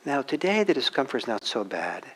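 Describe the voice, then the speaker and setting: An older man, a soft-spoken meditation teacher, answering a question in a gentle, halting way with many restarts. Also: mid-range voice, very animated, slightly rough, rough recording. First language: German. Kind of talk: dharma talk answering questions. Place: meditation hall with a group.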